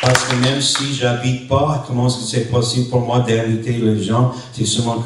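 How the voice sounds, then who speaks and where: A man from New Brunswick, a native English speaker with an English-speaking Canadian accent, preaches as a visiting pastor in a halting way, in short phrases, searching for words. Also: medium pitch, little pitch movement. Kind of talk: sermon. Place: church hall during a worship service. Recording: echoey hall, distant mic, faint noise.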